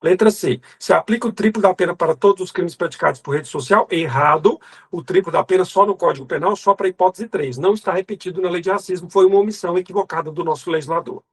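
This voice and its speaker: A man's voice: high-pitched, quick pace, slightly rough. A Brazilian man teaching an exam review lecture, emphatic and didactic, drilling lists by repetition and speaking directly to the student in a colloquial way. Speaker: law exam prep teacher.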